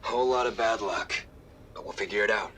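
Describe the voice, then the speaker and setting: A man playing a gruff, evasive soldier on the run in a video game cutscene. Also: fairly low pitch, brisk, a bit bright, a little hiss or hum, close to an everyday voice. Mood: reassuring. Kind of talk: dramatic game cutscene acting.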